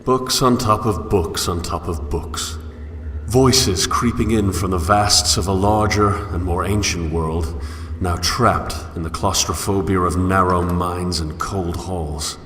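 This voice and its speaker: A man's voice: low voice, slow pace, a bit bright, a little hiss or hum. A man playing a solitary, brooding wanderer who muses in formal, eloquent language in a scripted game monologue. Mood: contemplative, somber. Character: solitary, brooding male wanderer musing philosophically on a fallen world. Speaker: narrator. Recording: long hall reverb, music underneath. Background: music.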